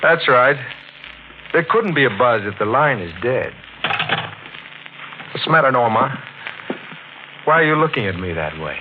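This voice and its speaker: Sinister, swooping radio-mystery killer. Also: male voice, medium pitch, big pitch swings. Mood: mock-polite, taunting. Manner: mock-polite, menacing, deliberate. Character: sinister, smooth, taunting male killer toying with his victim. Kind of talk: 1940s radio mystery acting. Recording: noisy, narrow-band 1940s radio recording.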